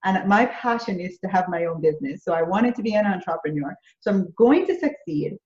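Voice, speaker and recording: A woman's voice: lowish voice, lively intonation, brisk, a little mellow. A woman from Jamaica with a Jamaican accent, answering interview questions earnestly and building her points through repeated phrases. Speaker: business coach, interview guest. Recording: roomy, dull lo-fi audio.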